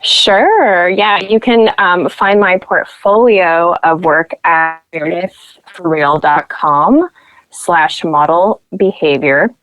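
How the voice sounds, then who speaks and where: A woman's voice: on the low side, slow pace, fairly bright tone, dry recording, low-quality recording. A young woman from Nebraska, a podcast guest, telling stories in casual chat and acting out what people said. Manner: chatty storyteller who acts out quoted voices.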